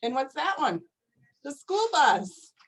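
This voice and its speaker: Lady doing storytime for little kids, highish voice, really slow n expressive reading. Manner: slow, deliberate, expressive, asks listeners questions. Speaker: storytime reader for young children. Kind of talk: animated picture-book read-aloud to children.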